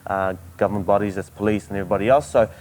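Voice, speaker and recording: A man with a New Zealand accent and a low voice, earnestly answering questions about his events. He often stops and restarts, filling the gaps with "um". Faint noise sits behind him. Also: steady tone, darkish tone. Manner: earnest, halting, many fillers. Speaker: event organizer. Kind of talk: interview answers. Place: outdoors at a motorsport event.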